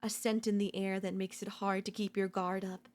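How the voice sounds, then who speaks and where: A woman playing an obsessive, domineering yandere in a dark ASMR roleplay, seductive and smug, slow and teasing, slipping into whispers. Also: mid-range voice, little pitch movement, close to an everyday voice. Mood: seductive whispering. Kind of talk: dark ASMR audio roleplay, intimate dramatic acting.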